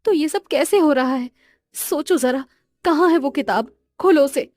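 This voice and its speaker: A woman playing a worried young girlfriend in an animated horror story, speaking in an urgent, insistent way. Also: high-pitched, measured pace, no echo. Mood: demanding.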